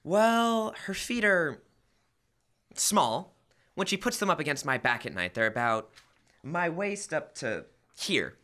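Fussy, earnest husband played by a young male actor, classic radio comedy, hugely animated pitch, forward bright tone, hesitant timing. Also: slow pace, heavily acted. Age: teens to young adult. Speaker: student actor. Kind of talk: old-time radio comedy acting. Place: school library, indoors, live stage reading. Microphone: stand microphone.

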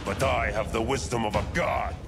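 A man's voice: medium pitch, steady tone, normal speed, creaky, lightly acted. A man playing a haughty, menacing ancient villain with godlike power and a formal, imperious manner in a video game. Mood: haughty menace. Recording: loud cinematic music behind.

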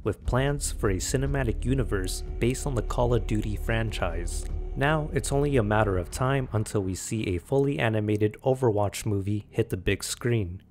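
A man's voice: lowish voice, normal speed, darkish tone, soft background music. A man delivering scripted narration as the narrator of a video essay.

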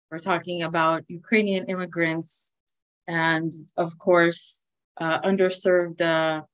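A woman in her forties with an American accent, giving an earnest, measured briefing over a video call and pausing often with "uh". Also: medium pitch, poor audio. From United States. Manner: earnest, measured, with frequent "uh" pauses. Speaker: nonprofit speaker. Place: indoors with a virtual flag background. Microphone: video call webcam.

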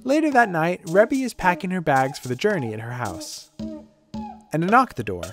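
Animated woman narrating fantasy comedy. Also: lowish voice, brisk, music in the background. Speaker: podcast narrator.

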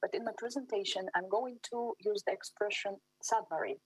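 A woman's voice: mid-range voice, fairly even intonation, a little fast, husky, no echo, low-quality recording. A woman in her thirties from Siberia, presenting her research in a webinar over a video call, formal and careful, with frequent fillers and restarts. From Russia, Siberia. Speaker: academic presenter. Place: small room at home.

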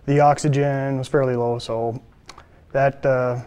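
A man's voice: mid-range voice, little pitch movement, measured pace, warm tone, smooth. A man in his thirties, a fisheries biologist, answering interview questions plainly with many filler uhs. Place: studio, seated.

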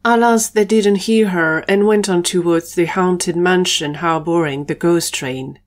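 Low-pitched female story narrator. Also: measured pace.

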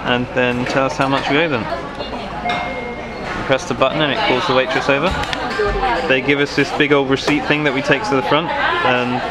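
A young British man vlogging casually, chatty and wry with self-deprecating asides. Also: medium pitch. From Britain. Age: young adult. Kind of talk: casual vlog narration. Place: busy restaurant and city street. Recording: loud crowd and street noise.

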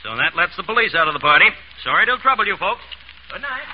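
A skeptical, blunt police inspector in 1930s radio style. His voice sits fairly high and moves a lot, with colloquial cop phrasing. The sound is muffled and old. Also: very animated, fast talker. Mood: blunt, smug. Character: gruff, skeptical, plain-spoken police inspector. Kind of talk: 1930s radio drama acting. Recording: noisy, muffled vintage radio broadcast.

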